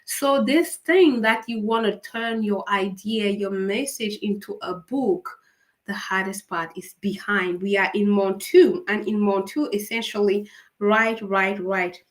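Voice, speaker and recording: A woman with a raspy voice from a cold, hosting a live writing-coaching session, upbeat and encouraging, asking viewers many questions. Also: medium pitch, unhurried. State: fighting a cold. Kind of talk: live-stream coaching talk.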